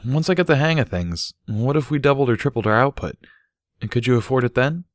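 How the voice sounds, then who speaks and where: Man playing male unicorn, storybook, earnest, explanatory, thoughtful, moderately lifted pitch. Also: animated, a little fast, no room sound, clean studio sound, close to an everyday voice. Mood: curious.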